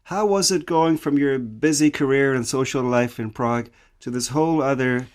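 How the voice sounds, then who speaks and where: Man asking relaxed interview questions. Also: medium pitch, normal speed. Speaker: radio interviewer, host.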